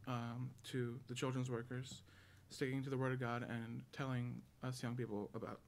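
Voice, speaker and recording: A young man with a mid-pitched voice gives a testimony at a church lectern. He is hesitant and often searches for words. The recording has faint noise. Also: little pitch movement, normal speed, vocal fry. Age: young adult. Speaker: church member giving a testimony. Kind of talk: halting public testimony. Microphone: stand microphone. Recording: noisy church hall recording.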